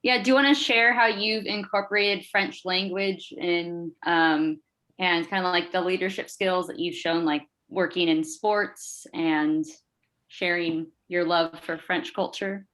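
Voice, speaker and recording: Slow, low-voiced woman presenter. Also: fairly even intonation, fairly bright tone. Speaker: event presenter. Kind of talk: introducing scholarship recipients.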